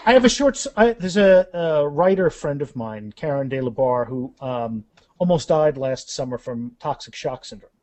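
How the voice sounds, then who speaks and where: A man's voice: medium pitch, animated, moderate pace, a little mellow, no echo. A man speaking as an author and interview guest, telling anecdotes in a casual, rambling conversational way.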